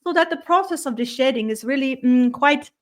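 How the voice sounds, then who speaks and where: Woman voicing a collective spirit guide, slightly high voice, benevolent, measured, soft trailing murmurs, hums "mm". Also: lively intonation. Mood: serene. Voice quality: soft murmured trailing "you see" and humming "mm". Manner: measured, gently instructive. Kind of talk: channeled spiritual session.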